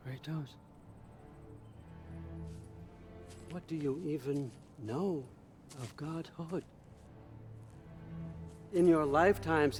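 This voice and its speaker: An actor playing a manipulative, grandiose god in a video game, with a deliberate, theatrical and needling delivery. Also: male voice, fairly high pitch, a bit bright. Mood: mocking, then scornful. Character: smooth-talking manipulative god, mocking and grandiose. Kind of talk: cinematic video game acting.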